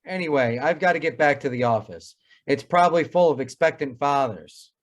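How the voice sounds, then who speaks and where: Man playing a pompous radio-comedy doctor, higher-pitched. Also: lively intonation, fairly quick, close to an everyday voice. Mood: joking. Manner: pompous, wordy, drily sarcastic. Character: wry, pompous family doctor with crusty wit. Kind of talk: old-time radio comedy acting.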